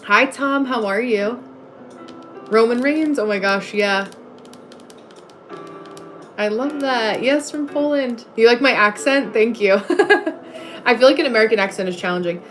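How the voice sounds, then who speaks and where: A woman's voice: a little high, a little slow, long pauses. A woman hosting a livestream in a casual, chatty way, replying to comments and breaking off to greet viewers. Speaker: livestream host. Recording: music playing behind the voice. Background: music.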